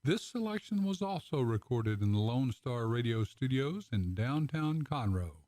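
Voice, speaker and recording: A man reading the show's credits as announcer, plain and steady. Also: mid-range voice, a little slow, dry recording.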